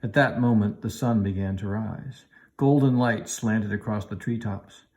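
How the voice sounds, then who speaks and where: A man reading a children's storybook aloud in an animated narrating style. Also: lowish voice, measured pace. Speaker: elementary teacher reading aloud.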